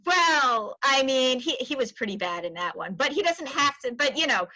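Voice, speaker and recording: Bright, high-voiced woman podcast host. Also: sing-song, normal speed, dry recording, highs cut off. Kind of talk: casual conversational interview.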